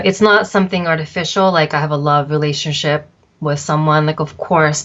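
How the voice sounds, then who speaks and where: Low-voiced thoughtful woman from Japan. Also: lo-fi sound. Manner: thoughtful, measured, with frequent um fillers. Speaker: arts agency founder, interview guest. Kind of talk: reflective interview answers.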